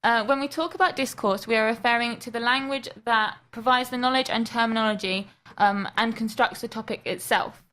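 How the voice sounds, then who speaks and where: A woman's voice: on the high side, brisk, hardly any pauses, fairly bright tone. Young female student, careful academic explainer on radio. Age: young adult. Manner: careful, explanatory, many ums. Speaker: student presenter. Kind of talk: scripted radio presentation.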